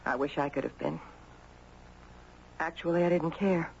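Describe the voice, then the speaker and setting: Sad gracious mom in old radio drama, hesitant dramatic delivery, muffled a bit noisy. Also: female voice, deep voice, normal speed, creaky. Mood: melancholy. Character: melancholy, gracious mother haunted by loss. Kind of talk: 1970s radio drama acting. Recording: dull noisy 1970s radio broadcast.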